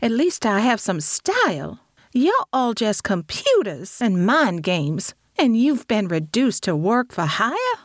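A woman's voice: a little high, huge pitch swings, slow pace, exaggerated voice. A woman playing a haughty, stylish supervillain in an audio drama, with grand drawling disdain. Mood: indignant. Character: haughty, stylish female supervillain, easily affronted.

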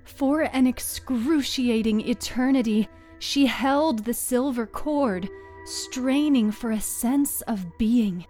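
A woman storyteller reading dramatic scripted narration in a deliberate, halting way, lingering on short phrases. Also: fairly high pitch, a little mellow. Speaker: storyteller, narrator of a serial fiction podcast. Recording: music under the voice. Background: music.

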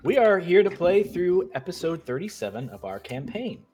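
A man hosting a tabletop role-playing show, getting over a cold and sounding a bit nasal, giving a recap in a steady storytelling voice with frequent fillers. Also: sits high, moderate pace, faint music behind. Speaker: game master and host. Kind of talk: show intro and recap narration.

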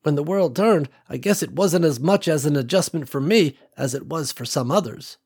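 A man's voice: on the high side, normal speed, slightly rough, pitched above the performer's own voice. A man doing a folksy old farm woman. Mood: gentle. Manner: gentle folksy drawl with endearments. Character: warm elderly woman farmer, folksy and wise.

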